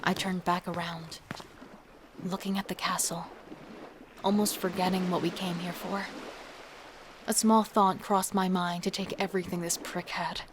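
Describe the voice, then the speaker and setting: A woman's voice: on the low side, little pitch movement, measured pace, pauses often, noisy background, close to an everyday voice. A woman playing an intense, defiant serial killer devoted to her partner, acting and narrating in a horror audio drama. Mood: tense narration.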